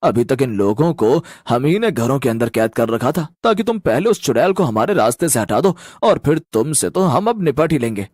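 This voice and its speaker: A man's voice: mid-range voice, big pitch swings, moderate pace, few pauses, a little mellow, dry recording, studio quality. A man voicing foolish, earnest young village men in a cartoon story, eager and emphatic. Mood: triumphant.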